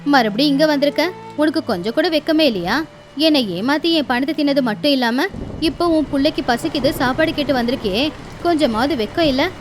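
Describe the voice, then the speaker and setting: A woman's voice: high-pitched, brisk, faint music behind, natural voice. A woman voicing a stern female crow moneylender in a cartoon moral story. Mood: angry scolding.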